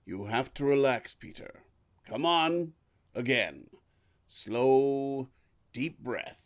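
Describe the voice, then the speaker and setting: A man playing a secretive, commanding, guilt-burdened mentor in an audio drama, speaking deliberately and cryptically. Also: mid-range voice, lively intonation. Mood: calm, coaxing. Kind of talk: audio fiction podcast acting.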